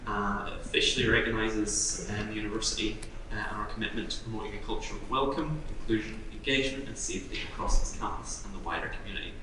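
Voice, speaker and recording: Man, low voice, presentation talk, hesitant with ums, very echoey room, distant mic. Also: fast talker, few pauses, noisy background. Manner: relaxed, hesitant, self-deprecating, many ums. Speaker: university outreach speaker. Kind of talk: presentation at an event. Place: event room.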